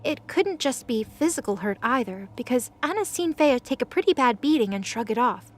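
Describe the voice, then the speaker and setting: A woman's voice: on the high side, animated, moderate pace. A woman reading scripted narration as the narrator of a fantasy audio drama.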